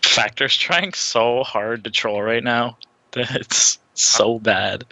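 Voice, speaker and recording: A man's voice: mid-range voice, steady tone, takes it slowly, pushed and bright, no echo, poor audio. A man co-hosting a stream, joking around casually about a fighting game.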